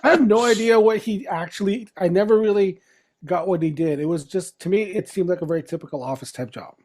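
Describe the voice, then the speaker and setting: A middle-aged man from the Philippines, a guest chatting casually in an interview, relaxed and chuckling as he talks. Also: high voice, brisk. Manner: relaxed, chuckling, trails off and restarts.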